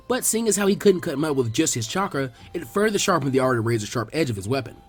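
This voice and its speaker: A man reading fan fiction aloud as an expressive story narrator. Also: medium pitch, fast, breathy edge, faint music behind.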